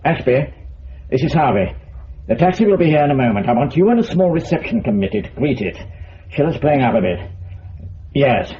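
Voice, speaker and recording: An actor playing an irritable, commanding British intelligence chief in a Cold War radio drama, with a curt, brusque manner. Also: male voice, mid-range voice, very animated, a little fast, pauses often, natural voice. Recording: muffled, faintly noisy radio drama audio.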